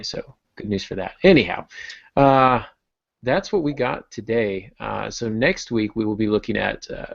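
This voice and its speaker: A man's voice: medium pitch, gravelly, no room sound, muffled recording. A man hosting a podcast in casual, informal chat, often thinking aloud with a self-deprecating touch. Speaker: podcast host.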